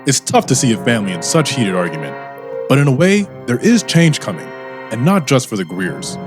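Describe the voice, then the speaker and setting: Male announcer for old-time radio drama. Lively, suspenseful delivery over a clear music bed. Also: mid-range voice, fairly quick. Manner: theatrical, suspense-building. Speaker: narrator and announcer. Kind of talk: old-time radio serial narration and sponsor read. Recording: music under the voice.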